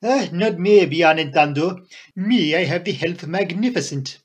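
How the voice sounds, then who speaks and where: A man's voice: fairly high pitch, expressive, normal speed. A man voicing a fussy, genial foreign detective with florid, formal phrasing in an audiobook. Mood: genial, sly. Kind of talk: audiobook character voice.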